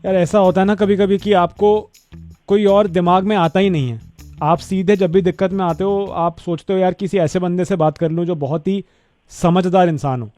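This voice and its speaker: A man playing a flattering neighbour who pleads for help on a prank phone call, in a wheedling, repetitive manner. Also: high-pitched, normal speed, soft background music, close to an everyday voice. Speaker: radio prank caller.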